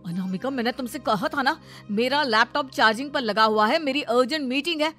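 A woman's voice: sits high, brisk, pushed and bright, faint music behind. A woman voicing an exasperated young husband who complains and reproaches in a family cartoon. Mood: angry reproach. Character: exasperated young husband, an office worker, quick to blame. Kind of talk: animated family drama voice acting.

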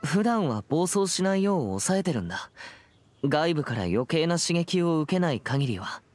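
A man playing a serious, reserved teenage boy exorcist in a Japanese anime game, speaking formally and in a measured way. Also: fairly high pitch, sing-song, a little mellow, no echo. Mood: wary, grave.

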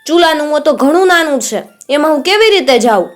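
A woman voicing a frightened young girl who pleads in a high voice. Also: animated, quick pace, faint music behind. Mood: pleading.

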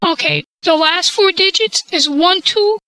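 A man using voice changing software to play a muddled woman who rambles and feigns confusion while stringing along a scam caller. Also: very high-pitched, unhurried, full character voice. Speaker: scambaiter. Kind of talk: prank phone call.

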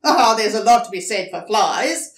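A woman's voice: medium pitch, lively intonation, moderate pace, echoey room, lightly acted. Woman putting on a gleeful, boastful storybook dingo. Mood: delighted. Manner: exuberant, boastful, sing-song. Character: sly, boastful, gleeful male dingo, singing cook.

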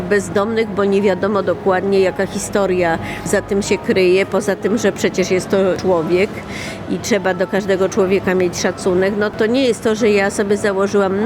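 Female interviewee, children's writer, mid-range voice, reflective answers over clear street-like noise. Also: mid-range voice. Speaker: children's author. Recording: clear urban ambient noise.

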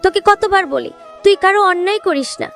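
A woman's voice: very high-pitched, fairly quick, faint music behind, pitched above the performer's own voice. A woman voicing a poor village girl in a fairy-tale cartoon, earnest, insistent and imploring. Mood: scolding, worried. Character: pleading poor village girl, devoted to her cow.

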